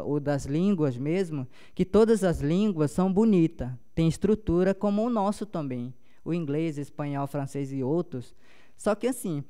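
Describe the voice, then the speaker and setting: Man, young adult, Paumari accent, Paumari native speaker, mellow, smooth resonant voice, halting panel talk. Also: high voice. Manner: halting, many restarts and fillers.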